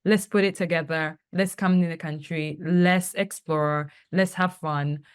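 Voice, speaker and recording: A young woman from Tanzania with a slightly low voice tells her story as a podcast guest. She talks casually, with many "like"s, and acts out little quotes from friends along the way. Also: fairly even intonation, measured pace, smooth. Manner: chatty storytelling, many fillers and acted-out quotes. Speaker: guest, travel company founder.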